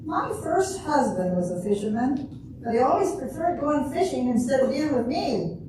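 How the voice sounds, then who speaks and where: A grumpy, cheeky older lady played by a woman in a readers theater speaks in a somewhat high voice with deadpan comic timing. She is distant from the microphone in a very echoey room, with clear background noise. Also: moderate pace. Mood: wry. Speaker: amateur readers theater performer. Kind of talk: comedic stage reading. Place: large echoey room before an audience. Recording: echoey hall from far off, noisy.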